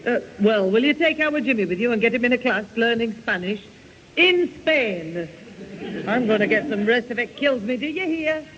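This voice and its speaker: A woman's voice: fairly high pitch, lively intonation, normal speed, crowd noise, lightly acted. A woman playing a weary, exasperated Northern English mother in a vintage radio sitcom, long-suffering and sardonic. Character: weary, exasperated mother wanting some rest. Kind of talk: 1970 radio sitcom acting. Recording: dull, noisy vintage radio recording.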